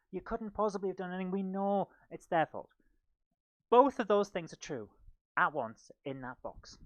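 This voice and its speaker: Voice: mid-range voice, dry recording. A comedian delivers a live stand-up monologue to an online audience. The delivery rambles and pokes fun at itself, in a close, dry recording that sounds slightly dull. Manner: rambling, self-deprecating. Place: small book-lined room at home.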